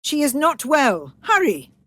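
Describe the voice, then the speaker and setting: A woman voicing a stern, blunt elderly great-aunt in a storybook, with imperious, brisk questioning. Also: high voice, wildly swooping pitch, a bit bright, no echo, voice pushed up, full character voice.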